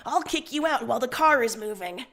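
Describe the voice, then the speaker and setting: A woman's voice: a little high, expressive, fairly quick, fairly bright tone. A woman voicing a grumpy, combative, exasperated young man in a story read on a livestream. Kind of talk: character reading on a livestream.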